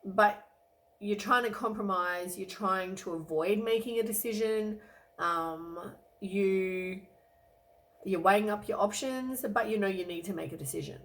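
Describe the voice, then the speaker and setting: Croaky woman giving a tarot reading. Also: mid-range voice, a little slow, poor audio. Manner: relaxed, rambling, many ums and hedges. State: croaky from a cold. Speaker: tarot reader.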